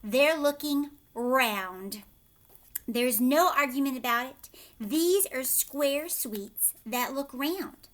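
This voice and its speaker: A woman voicing an exuberant, brisk, teasing male candy-factory owner in a storybook, with an emphatic, insistent manner. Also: high voice, expressive, fairly bright tone, full character voice. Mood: triumphant.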